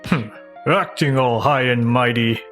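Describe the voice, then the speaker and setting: A man's voice: medium pitch, a little slow, fairly bright tone, faint music behind, natural voice. A man playing a controlling, scornful older husband in a manga dub, blustering as he speaks. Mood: haughty, demanding. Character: controlling, arrogant older husband, a retired teacher. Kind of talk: acted manga dub dialogue.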